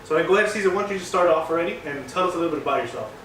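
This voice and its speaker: Fast-talking podcast co-host man. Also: a little high, animated, fairly bright tone. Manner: quick, chatty questioning. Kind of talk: casual podcast chat. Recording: echoey room, far from mic, noisy.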